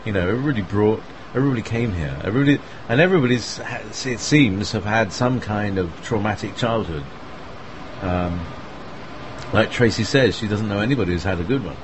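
Low-voiced man rambling casually. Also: fairly quick. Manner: meandering, many fillers and laughs. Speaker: host of an online group chat. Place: online voice call. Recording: clear background noise.

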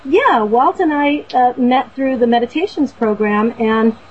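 A woman's voice: a little high, a little slow, slight background noise. Earnest rambling woman on lo-fi audio. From Boston, USA. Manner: warm, earnest, rambling with fillers and restarts. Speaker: guest. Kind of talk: casual storytelling on a talk show.

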